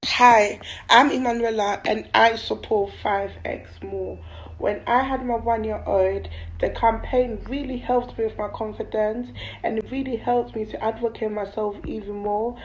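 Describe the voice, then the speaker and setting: A woman's voice: on the high side, fairly even intonation, measured pace, rough recording. A woman, a mother and campaign supporter, giving a sincere short appeal urging people to donate.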